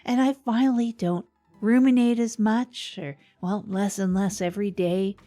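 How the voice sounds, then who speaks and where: A woman playing an earnest, rambling radio host in a comedy show, speaking in a halting, confiding way. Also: mid-range voice, steady tone, warm tone, soft background music, natural voice. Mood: earnest, reflective. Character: earnest, rambling woman radio host, self-help minded failed marketer. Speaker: podcast host character.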